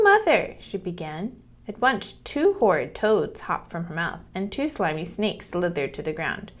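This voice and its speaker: Female teacher for a children's story read-aloud; explains simply and checks in with questions. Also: mid-range voice. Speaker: English teacher reading to young children.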